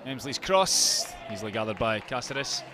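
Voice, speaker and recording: A man commenting on a football match, then reading a measured introduction to a feature at half-time. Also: mid-range voice, expressive. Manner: measured, scripted link to a feature. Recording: crowd and stadium noise behind.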